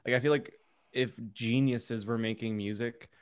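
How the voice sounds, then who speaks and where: A man co-hosting a podcast, talking casually in an informal, halting and self-deprecating way. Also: medium pitch, steady tone, smooth. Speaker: podcast co-host. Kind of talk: casual panel discussion.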